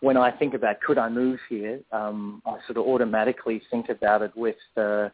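A man's voice: on the low side, level tone, a little fast, no echo. A man raised in Australia, a band musician answering interview questions in a rambling, casual way with many restarts. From born in South Africa, raised in Perth, Australia. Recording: tinny phone-line sound, dry.

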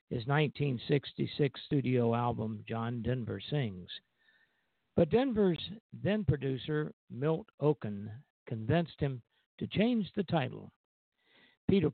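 A man's voice: mid-range voice, gravelly, dry recording. A man hosting a music radio show, reading scripted notes on song history over a thin connection. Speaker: radio show host introducing records. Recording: thin phone-like audio.

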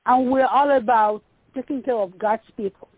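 A woman's voice: fairly high pitch, moderate pace, dry recording. A woman from Nigeria with a Nigerian accent, a ministry founder talking earnestly about her work and often repeating words as she searches for them. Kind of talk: casual interview talk. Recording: muffled phone line.

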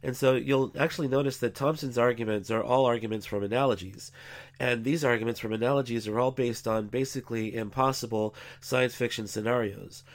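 A man's voice: mid-range voice, steady tone. Congested male podcast host. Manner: measured, explanatory, with frequent uh fillers. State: congested from a cold. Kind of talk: scripted-sounding podcast commentary.

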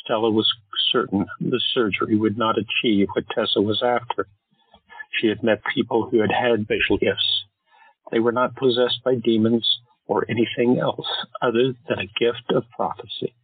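A man narrating an audio drama in a measured storytelling delivery. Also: on the low side, steady tone, slightly rough, no echo. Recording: tinny phone-like sound with faint music.

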